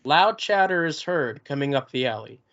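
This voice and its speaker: A man's voice: a little high, expressive, normal speed, a bit bright. Man plainly reading stage directions. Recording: dull lo-fi recording.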